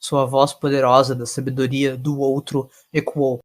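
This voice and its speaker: A man's voice: mid-range voice, little pitch movement, moderate pace. A man narrating a scripted story in a dramatic storytelling style.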